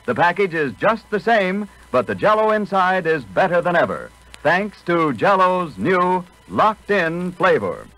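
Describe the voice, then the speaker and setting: Male radio announcer, 1940s commercial read, emphatic, polished, lively intonation, slightly high voice. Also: unhurried, poor audio. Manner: polished, emphatic salesman delivery.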